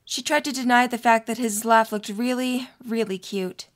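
A woman's voice: a little high, fairly even intonation, moderate pace. A young adult woman reading scripted story narration for an audio drama.

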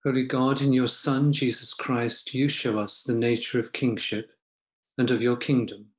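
A man's voice: mid-range voice, flat delivery, moderate pace. An older man reading intercessory prayers aloud as prayer leader, recorded in a room at home. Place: small room at home with bookshelves.

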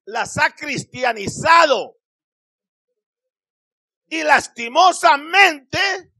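Fervent man preaching in bursts. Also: extremely high pitch. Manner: emphatic, drawn-out phrases with shouted peaks. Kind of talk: Spanish-language Pentecostal sermon. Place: small church hall, indoors. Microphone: handheld microphone.

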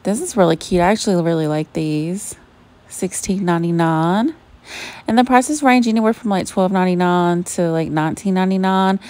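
A woman from Georgia with a Southern accent, chatting warmly to viewers as she browses a store on a handheld phone. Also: lowish voice, moderate pace. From Savannah, Georgia, US. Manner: gushing, chatty, addresses viewers as y'all. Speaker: shopping vlogger. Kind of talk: casual shop-with-me chat. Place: inside a retail store. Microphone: handheld phone camera.

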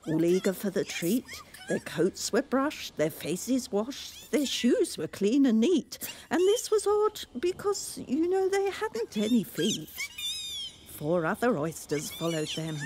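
Slow, lively woman reciting poetry. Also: fairly high pitch, a little hiss or hum. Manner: theatrical, measured storytelling. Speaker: poem reader. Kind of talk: expressive children's poetry recital.

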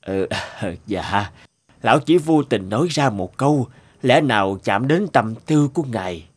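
A man's voice: mid-range voice, expressive, measured pace, a little hiss or hum, natural voice. A man playing a humble, deferential old village innkeeper in a cartoon fairy tale, speaking in a hesitant, polite, servile way. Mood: timid, polite.

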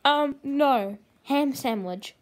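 Woman, high voice, slow and halting, lots of ums, puppet cooking show host, kitchen table. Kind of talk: improvised puppet comedy.

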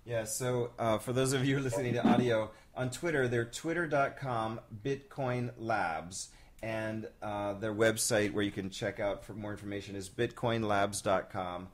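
A man's voice: on the low side, moderate pace. A man hosting a casual live video chat, talking loosely with many fillers and frequent laughs. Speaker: show host.

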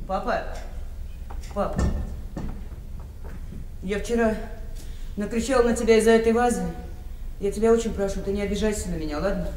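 A woman's voice: medium pitch, steady tone, moderate pace, pauses often, warm tone, lightly acted. A woman acting a harried, bossy yet affectionate middle-aged daughter in a Soviet radio play. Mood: coaxing, apologetic. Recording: roomy, faintly noisy radio-play recording.

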